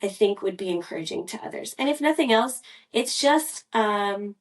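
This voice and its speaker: A woman's voice: mid-range voice, lively intonation, normal speed, recorded from a distance. Chatty woman, a little off-mic. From Scotland. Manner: chatty, rambling, self-correcting with fillers. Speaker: podcast co-host. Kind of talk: casual personal storytelling.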